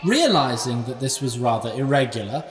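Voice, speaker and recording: A man's voice: medium pitch, animated, moderate pace, echoey room, in a large hall, distant mic, natural voice. A man narrating a fantasy audio drama as a wry, ancient sprite, with dry asides to the listener.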